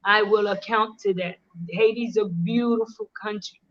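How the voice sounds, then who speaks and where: A woman's voice: mid-range voice, fairly even intonation, normal speed, fairly bright tone, highs cut off. A middle-aged woman hosting a casual live-stream chat, talking earnestly and switching between English and Creole. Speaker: host.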